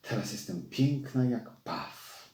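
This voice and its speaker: A man voicing a vain, dreamy female crow from a storybook, with drawn-out, gushing speech. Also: mid-range voice, lively intonation, darkish tone, voice pushed up. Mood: dreamy longing. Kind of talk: storybook character voice. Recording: roomy echo.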